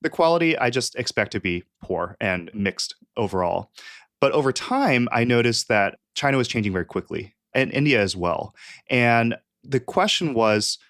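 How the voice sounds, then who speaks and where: A young adult man from California, a guest talking through his background in a relaxed podcast interview, with lots of "you know" fillers and light laughs. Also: mid-range voice, moderate pace, no echo, clean studio sound. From California, USA. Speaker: guest expert.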